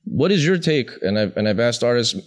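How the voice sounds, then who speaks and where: A man hosting a casual interview, chatty and relaxed, often chiming in with agreement. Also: medium pitch, a little fast. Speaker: podcast host.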